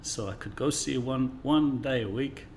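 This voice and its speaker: A man in his sixties from the English Midlands with a neutralised accent, a guest telling stories in a friendly, measured way in an office interview. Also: medium pitch, darkish tone, slight background noise. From England, Midlands. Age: 60s. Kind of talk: conversational interview storytelling.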